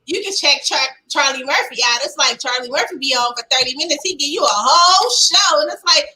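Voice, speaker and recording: Bright high-voiced woman hosting. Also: animated, fairly quick, a little hiss or hum. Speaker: talk show host. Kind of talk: live online chat show.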